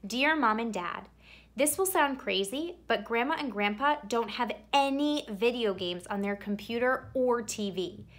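A woman reading a children's picture book aloud expressively, with theatrical emphasis and drawn-out words. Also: on the high side, expressive, fairly bright tone. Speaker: read-aloud storyteller.

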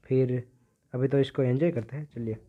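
A man's voice: mid-range voice, steady tone, normal speed. A teenage male giving casual gameplay commentary as a gaming video creator. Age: teenager, about 15. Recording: dull, lo-fi phone-like audio.

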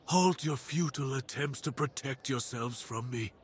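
A man's voice: mid-range voice, moderate pace, slight background noise, close to an everyday voice. A man voicing a proud, menacing dire wolf beast in an ASMR roleplay, speaking with grave, commanding, theatrical deliberation. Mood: contemptuous, menacing.

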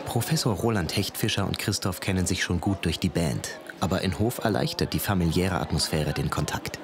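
Very low-voiced male documentary narrator. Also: brisk. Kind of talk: scripted narration. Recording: music behind the voice. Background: background music.

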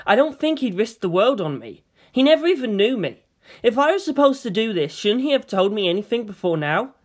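A woman's voice: a little high, sing-song, fairly quick, voice pushed up. A woman acts out a teenage boy in a dramatic audiobook. He is agitated and full of protest. He questions everything with hurt suspicion. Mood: wounded disbelief. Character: distressed, argumentative, suspicious teenage boy, reluctant pilot. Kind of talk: dramatic audiobook character reading.

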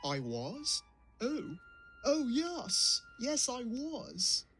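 Someone doing a forgetful little cartoon train, slow n hesitant, sorry about everything, music behind. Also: medium pitch, big pitch swings, fairly bright tone, full character voice. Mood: anxious, eager. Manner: hesitant, gasping, dithering. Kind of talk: cartoon comic dub dialogue.

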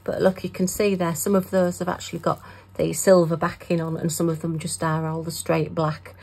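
Chatty woman, gravelly voice. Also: fairly low pitch, little pitch movement, moderate pace, a little mellow. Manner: chatty, talks to herself. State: losing her voice. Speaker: craft vlogger. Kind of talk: casual chat while crafting.

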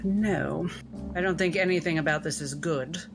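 A woman playing a courteous, wry female doctor who speaks politely and with gentle hesitation. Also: low voice, moderate pace, vocal fry, music in the background. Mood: grave, wry.

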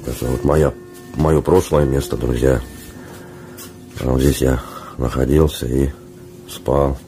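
Sick guy vlogging on his phone, super deep monotone voice, sore throat, halting casual talk. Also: faint music behind. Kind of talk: casual vlog talk to camera. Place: small dormitory room, indoors. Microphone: handheld phone at arm's length.

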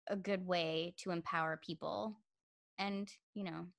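A woman's voice: fairly even intonation, a little slow, creaky. Chatty young woman on a video call. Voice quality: says she sounds young with a high voice. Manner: chatty, many "like" fillers. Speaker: interviewer. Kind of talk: casual video-call interview chat.

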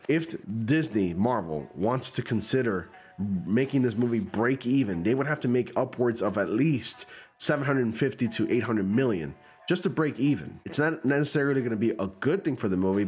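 A man in his forties with a stuffy, cold-clogged voice, casually commenting on movie news and reading an article aloud, close to a studio mic in a small room at home. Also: lowish voice. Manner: conversational, reads news text aloud with asides. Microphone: large studio mic close in front.

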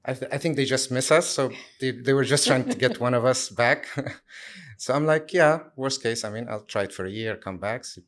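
A man in his thirties from Syria, answering interview questions in a relaxed way with many fillers and restarts. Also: medium pitch, moderate pace. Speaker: guest, sustainability lead.

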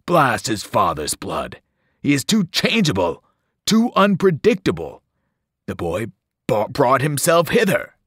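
A man voicing a cold, ancient male monster that speaks from a pit, slow and scornful. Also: low-pitched, very animated, slightly rough, dry recording, studio quality, voice pushed down, heavily acted. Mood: menacing. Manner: menacing, scornful, slow and looming.

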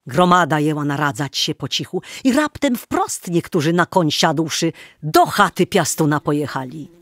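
A woman's voice: low-pitched, animated, normal speed, fairly bright tone, no room sound, studio quality. A woman narrating a radio drama in a dramatic, literary storytelling manner.

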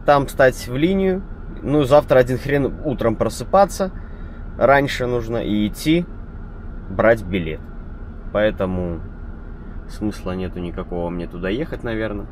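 A man who drives a truck, chatting to his viewers in a relaxed, rambling vlog style. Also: lowish voice, a little slow, slight background noise. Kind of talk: casual vlog chat. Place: truck cab and roadside.

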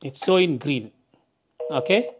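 Woman, low pitch, unhurried slow delivery, tutorial narration, simple step-by-step explaining. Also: animated, slight background noise. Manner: simple step-by-step explaining with frequent checking "okay". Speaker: tutorial presenter. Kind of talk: hands-on instructional narration. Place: indoors, at a wooden work table.

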